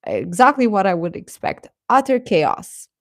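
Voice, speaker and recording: Animated woman chatting, close and dry. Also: mid-range voice, unhurried, no echo. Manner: animated, giggly, rambling. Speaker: livestream host chatting with viewers. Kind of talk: casual reaction chat.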